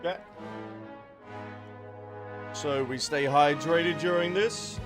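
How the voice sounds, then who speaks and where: A man's voice: fairly high pitch, extremely slow, over music. A man casually commentating as he live-streams a video game.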